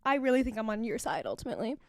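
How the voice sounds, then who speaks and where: A young woman co-hosts a casual podcast debate, loud and quick with frequent laughs. Her voice sits high, and the recording is dry and close. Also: very animated, no room sound. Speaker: podcast co-host.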